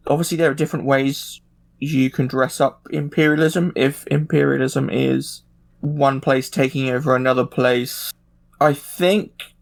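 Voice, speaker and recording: Male, creaky gravelly voice, halting delivery, casual discussion, podcast host. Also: medium pitch. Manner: halting, thinking aloud.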